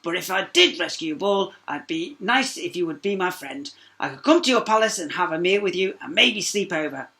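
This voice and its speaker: A man voicing an eager, needy little frog with a reedy croak in a children's storybook reading. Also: sits high, lively intonation, a little fast, hardly any pauses, pushed and bright, voice pushed up, full character voice. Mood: hopeful. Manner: pleading, chummy.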